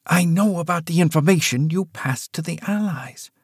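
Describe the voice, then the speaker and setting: A man's voice: a little high, normal speed, husky, dry recording, studio quality, pitched above the performer's own voice. A man voicing a shaken adult daughter who speaks urgently and pleadingly, in a storybook reading.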